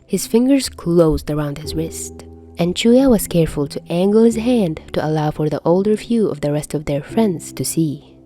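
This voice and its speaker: A woman narrates fiction expressively in a mellow, rather low voice. She takes her time with the story, and faint music plays behind her. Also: expressive, normal speed. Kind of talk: expressive amateur audiobook narration.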